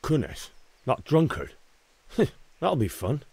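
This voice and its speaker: An actor playing a young medieval peasant lad in a video game, casual and a little defensive. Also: male voice, fairly high pitch, wildly swooping pitch, takes it slowly, creaky, a little mellow, breathy edge, exaggerated voice. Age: young adult. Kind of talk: dramatic video game dialogue.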